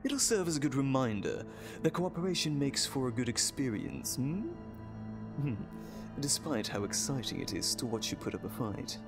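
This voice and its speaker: An actor playing a sadistic, gleeful evil doctor in an audio drama, condescending and mock-tender, with theatrical, drawn-out phrasing. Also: male voice, mid-range voice, expressive, over music, natural voice. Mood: amused menace.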